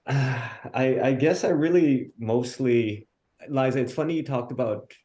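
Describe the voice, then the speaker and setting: A man from Hawaii speaking casually as a researcher on a video-call panel, relaxed and self-deprecating. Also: mid-range voice, measured pace.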